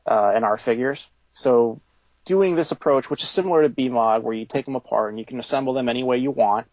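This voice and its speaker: A man with a mid-pitched voice talks informally as an interviewed designer. He thinks aloud haltingly. The sound is dry and a little dull. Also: darkish tone, no echo. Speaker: toy designer being interviewed. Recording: slightly dull, lo-fi recording.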